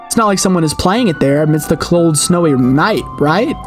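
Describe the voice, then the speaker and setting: A man's voice: a little high, animated, normal speed, over music. A man reading a horror game's story aloud with theatrical, suspenseful delivery, as a player narrating.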